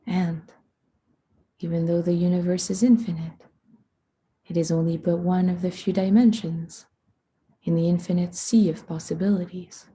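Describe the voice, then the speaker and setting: A woman leading a guided meditation in a hushed, soothing, unhurried manner, with long pauses between phrases and a soft, breathy voice. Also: fairly low pitch, little pitch movement.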